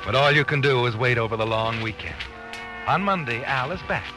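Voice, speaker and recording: Music runs under an old radio suspense narrator, a man acting the part. His voice swings widely in pitch as he taunts and insinuates, knowing every guilty secret. It is mid-century radio drama delivered straight at the listener. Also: expressive, a little fast, natural voice. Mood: insinuating. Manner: insinuating, taunting rhetorical questions. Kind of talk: 1940s radio suspense narration in second person.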